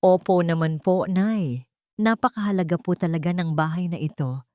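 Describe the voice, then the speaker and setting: A woman's voice: lowish voice, normal speed, warm tone, dry recording, studio quality, natural voice. A woman voicing a scheming daughter-in-law in a story, sugary and falsely courteous with venom underneath. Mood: poisoned sweetness.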